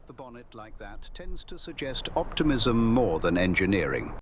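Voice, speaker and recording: A man playing a dry, deferential male servant with sardonic wit in a radio drama, with loud weather noise behind. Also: lowish voice, sing-song, normal speed. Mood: wry, gloomy. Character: dry, wry male servant greeting guests with gloomy wit.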